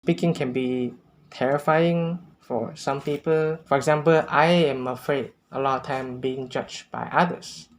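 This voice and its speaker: A man's voice: medium pitch, steady tone, a little slow, a little hiss or hum. Earnest man sharing tips. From Malaysia. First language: Cantonese. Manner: earnest, unpolished, with non-native phrasing and small restarts. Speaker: language-learning vlogger. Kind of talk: casual tips talk to camera.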